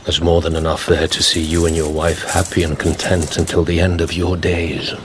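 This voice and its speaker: An actor playing a cool, scheming wealthy count in a radio drama, speaking in a measured, formal, guarded way. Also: male voice, sits low, flat delivery, a little hiss or hum, lightly acted. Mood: smooth, calculating.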